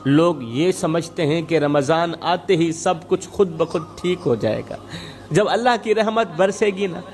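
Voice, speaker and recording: High-pitched man preaching over faint music. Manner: emphatic, rhetorical repetition and exhortation. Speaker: Islamic preacher and storyteller. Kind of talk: Urdu moral sermon with storytelling.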